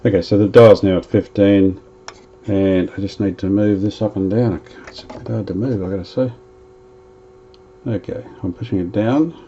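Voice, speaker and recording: A man's voice: lowish voice, fairly even intonation, normal speed, mellow, lo-fi sound, slight background noise. A man narrating as he restores an old radio, chatting casually and thinking aloud while he works.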